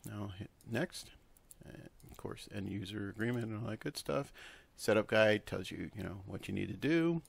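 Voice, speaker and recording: A man with a fairly low voice and plenty of vocal fry presents a software tutorial. He talks informally and instructs step by step, in the casual way of someone recording a screen walkthrough at his computer. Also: normal speed.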